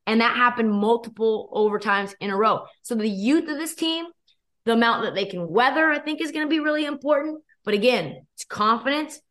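A young woman guest with a hoarse, worn voice, talking sports and betting in a quick, energetic, opinionated way. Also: on the high side. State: losing her voice. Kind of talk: casual sports chat.

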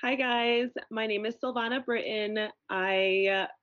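A woman's voice: on the high side, steady tone, measured pace, fairly bright tone, no room sound, muffled recording. A woman in her thirties from Venezuela, chatting casually as a podcast guest and filling her talk with "like" and "you know.". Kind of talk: casual conversation.

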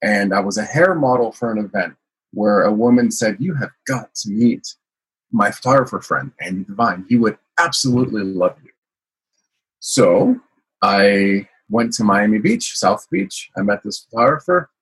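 A man's voice: lowish voice, lively intonation, normal speed, fairly bright tone, husky, rough recording. A man from the United States, a guest telling his life story in a casual interview, rambling with many fillers. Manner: rambling, frequent "you know" fillers.

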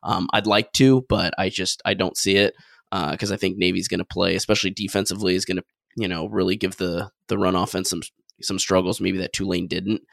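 A man co-hosting a casual sports podcast, giving a measured take with hesitant fillers. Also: low-pitched, fairly even intonation, vocal fry, a bit bright, no room sound, clean studio sound. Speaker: podcast co-host.

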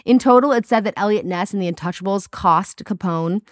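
A woman's voice: medium pitch, brisk, no echo, studio quality. Giggly South African woman podcaster. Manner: giggly, animated storytelling with silly voices. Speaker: podcast co-host. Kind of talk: casual chat, reading history notes.

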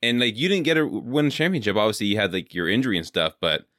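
A man's voice: fairly low pitch, big pitch swings, fast, fairly bright tone, dry recording, clean studio sound. A young man from California hosting a podcast, chatting casually and rambling with lots of filler words. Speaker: podcast host. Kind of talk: casual interview chat.

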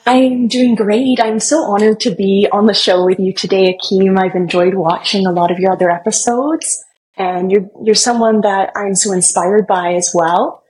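A woman guest, born in Germany and raised in Canada, speaks at an ordinary mid pitch. She answers in a friendly, conversational way and laughs easily. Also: steady tone, normal speed, a little mellow, low-quality recording.

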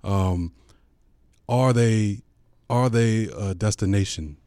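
A man co-hosting a conversational sports interview, building his questions in halting, repeated phrases. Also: lowish voice, dark tone, no room sound. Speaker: co-host, sports writer.

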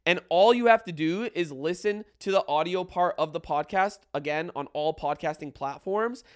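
A man's voice: on the high side, moderate pace, clear, resonant tone. A young man hosting a podcast in casual chat, talking loosely and repeating himself into a studio mic. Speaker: podcast host.

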